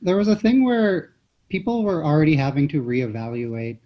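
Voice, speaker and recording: A man's voice: fairly high pitch, moderate pace. A man speaking as a panelist in casual discussion, analytical and often restarting his sentences, on slightly dull audio.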